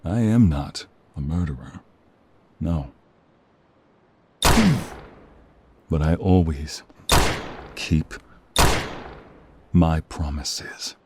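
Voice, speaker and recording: A man's voice: rumbling low, takes it slowly, pauses often, very noisy. A man acting as a devoted, protective wounded mobster in an audio roleplay, pleading earnestly and tenderly with his partner. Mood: grave, weary.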